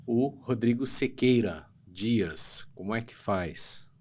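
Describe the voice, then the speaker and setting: A podcast host with a Brazilian Portuguese accent speaks in a casual, upbeat way. His voice sits at an ordinary middle pitch for a man, and he drops slangy asides as he wraps up the chat. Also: slow pace. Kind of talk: casual interview talk.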